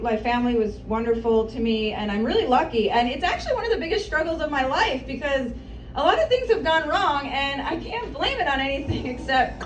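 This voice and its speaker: A woman in her thirties doing a stand-up comedy set, chatty and self-deprecating with rambling asides, on a small café stage. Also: high-pitched. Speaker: stand-up comic. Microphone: mic on stand, filmed from across the room. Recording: roomy, distant, noisy café recording.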